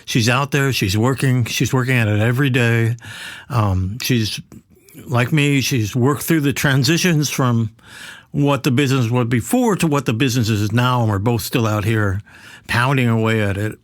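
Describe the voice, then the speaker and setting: A man's voice: medium pitch, moderate pace, no echo, studio quality. Middle-aged man hosting a podcast. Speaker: podcast host.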